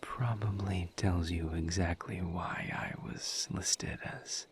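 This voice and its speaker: Man voicing a very slow, low, whispering demon. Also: steady tone. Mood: reserved, candid. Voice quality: breathy, mostly whispered. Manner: slow, deliberate, halting with long pauses. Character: gentle, patient male misery demon offering solemn comfort. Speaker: audio roleplay voice actor. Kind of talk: intimate whispered audio roleplay.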